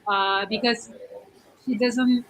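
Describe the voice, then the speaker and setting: A woman's voice: fairly high pitch, steady tone, slow pace, fairly bright tone, rough recording. A woman chatting casually as a livestream co-host, explaining things in an informal, slightly hesitant way. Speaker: live stream co-host. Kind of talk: casual chat. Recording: clearly audible noise, clicks.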